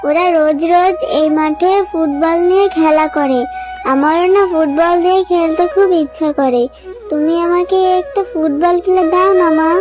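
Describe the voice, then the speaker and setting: Casting: eager little cartoon village girl, extremely high childlike voice, whiny and pleading, music underneath. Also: steady tone, normal speed.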